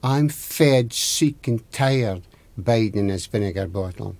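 A man's voice: fairly low pitch, lively intonation, unhurried, vocal fry, a little mellow, no room sound. Man playing a grumbling, never-satisfied Scottish wife. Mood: scornful, fed up. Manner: complaining, emphatic. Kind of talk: oral folktale storytelling.

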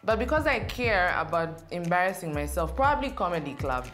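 A woman's voice: on the low side, sing-song, fairly quick, fairly bright tone, faint music behind. A young woman, answering interview questions in a chatty, playful way in an indoor studio. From Zambia. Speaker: interview guest. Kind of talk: casual Q&A interview.

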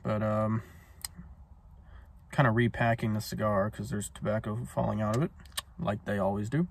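A man's voice: on the low side, fairly even intonation, normal speed, gravelly, slightly rough. A man in his twenties casually reviewing a cigar while smoking it in a parked car, rambling with lots of ums, recorded on a phone camera. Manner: laid-back, rambling, many ums and uhs. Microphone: phone camera on dashboard.

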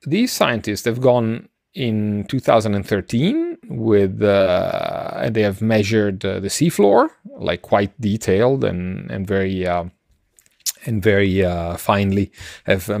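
A middle-aged man co-hosting a science podcast, explaining casually and haltingly, often circling back to rephrase. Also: lowish voice, vocal fry, dry recording, studio quality. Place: indoor room on a video call. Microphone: close desk microphone, headset.

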